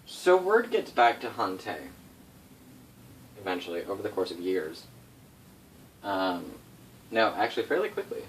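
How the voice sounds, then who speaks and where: A man recounts fantasy game lore as a game master, his pitch moving around in lively swings. He talks casually and rambles, correcting himself as he goes. He sounds a little way from the mic, with faint noise behind him. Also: distant mic, rough recording. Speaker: game master recounting setting history to players. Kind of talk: informal storytelling of tabletop game lore.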